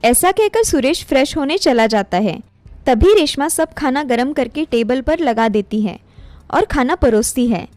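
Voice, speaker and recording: High-pitched woman narrating a story. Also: animated, a little slow, darkish tone, no room sound.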